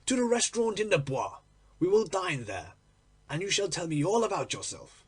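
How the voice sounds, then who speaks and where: A man plays an urbane, inquisitive storybook gentleman with a witty streak. His voice is fairly high and breathy, suave and emphatic, swooping widely in pitch. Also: big pitch swings, moderate pace, full character voice. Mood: urbane, eager.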